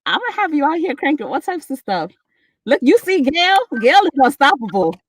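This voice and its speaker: A woman's voice: sits high, big pitch swings, normal speed, no room sound, poor audio. A woman from Brooklyn with a New York accent, chatting casually and sassily as a knitting mentor on a livestream. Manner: sassy, chatty, laughs often.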